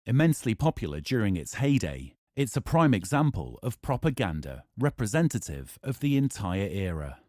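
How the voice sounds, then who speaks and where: A man's voice: lowish voice, big pitch swings, moderate pace, few pauses, darkish tone, no echo, studio quality. A man narrating a scripted introduction to a radio drama podcast.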